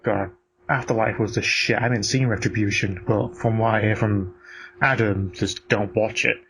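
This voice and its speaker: A man's voice: fairly low pitch, fairly even intonation, normal speed, a bit bright, poor audio. A man chatting casually and giving blunt opinions in a sweary, ranting way. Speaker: podcast co-host. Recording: noticeable background noise.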